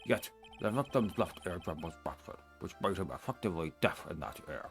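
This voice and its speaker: A man doing a gravelly, formal judge. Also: low-pitched, animated, faint music behind. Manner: formal, measured. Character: formal elderly male courtroom judge. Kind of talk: fan voice acting of a game.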